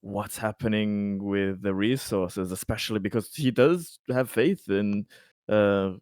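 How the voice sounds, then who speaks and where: A man talks casually as one of the players in a tabletop game, doubling back on his words as he thinks aloud. His voice sits a little low and is recorded dry, with no room sound. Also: unhurried, a little mellow, no echo. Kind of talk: casual chat.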